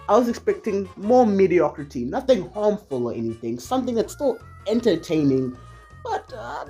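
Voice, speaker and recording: Video essay narrator, husky voice, medium pitch, conversational, wry asides, music underneath. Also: mellow. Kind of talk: scripted movie review commentary.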